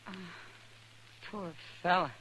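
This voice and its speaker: A woman's voice: fairly low pitch, takes it slowly, a bit bright, rough recording. Frontier woman, friendly, sympathetic, wry, 1950s radio western, faint background noise. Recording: vintage radio recording, slight hiss.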